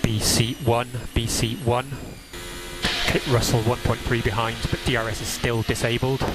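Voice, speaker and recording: A man's voice: mid-range voice, flat delivery, normal speed, over loud music. A man working as a race engineer, giving clipped, procedural instructions over team radio and repeating key calls. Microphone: radio headset. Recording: noisy motor racing team radio. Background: loud engine and track noise.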